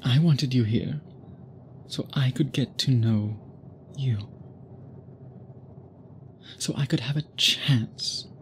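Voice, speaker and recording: Slow, brooding vampire suitor, acted by a man. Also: mid-range voice, a little hiss or hum, lightly acted. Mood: yearning, possessive. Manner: formal, brooding, halting phrases, slipping into breathy whispers. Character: obsessed, guilt-ridden immortal male vampire suitor. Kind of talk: intimate ASMR roleplay, dramatic monologue.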